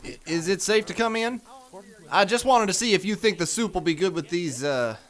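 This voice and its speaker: A man's voice: high voice, animated, brisk, background noise. A man plays a dim but good-natured young crewman who stumbles over his words. The voice swings up and down a lot. He sounds goofy and hesitant.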